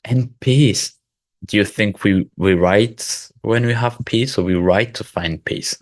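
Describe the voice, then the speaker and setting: A man with the flu hosting a casual video call, relaxed and given to laughing and asking thoughtful questions. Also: on the low side, takes it slowly, dry recording. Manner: relaxed, laughs often, asks reflective questions. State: sick with flu. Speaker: host.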